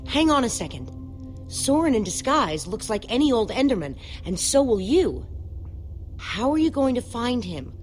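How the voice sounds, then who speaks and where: A woman playing a blunt, sardonic young adventurer sidekick in a video game, brisk and sarcastic, with music behind her. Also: on the high side, sing-song. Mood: skeptical. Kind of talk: natural video game voice acting. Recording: faint music under the voice.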